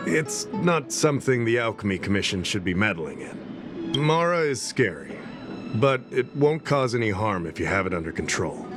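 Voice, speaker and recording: Guy voicing a calm earnest game official, lowish male voice, measured briefing, clear music behind. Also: lightly acted. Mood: cautioning. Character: composed male Realm Keeping official briefing an ally. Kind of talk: video game voice acting.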